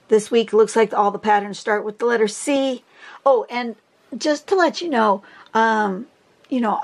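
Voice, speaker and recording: A woman with a hoarse voice from a cold and laryngitis, talking casually through a drawing tutorial, chatty and rambling with fillers and throat clearing. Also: medium pitch. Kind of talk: casual instructional talk. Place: indoors at a table, filming a phone screen.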